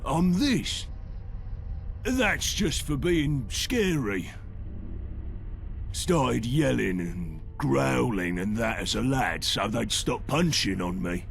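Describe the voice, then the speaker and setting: An actor playing a simple, good-hearted big brawler in a video game, with a gravelly British voice and a halting, earnest manner that fumbles for words. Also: male voice, medium pitch, big pitch swings, soft background music, heavily acted. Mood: sheepish, earnest. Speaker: companion character in a video game.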